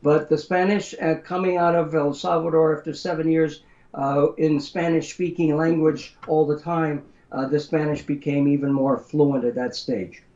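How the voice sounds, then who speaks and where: A man's voice: a little high, little pitch movement, a little mellow. Older man with an American accent, reflective. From Brazil. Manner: measured, many uh fillers, reflective storytelling. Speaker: guest author. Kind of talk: interview conversation. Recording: roomy and muffled.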